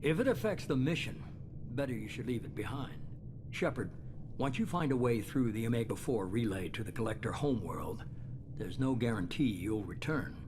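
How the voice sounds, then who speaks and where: A man playing a composed, calculating mastermind with a measured, authoritative manner in a video game drama. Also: medium pitch, lively intonation, noisy background, close to an everyday voice. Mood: grave, commanding. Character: calculating, composed male mastermind of shadowy organization.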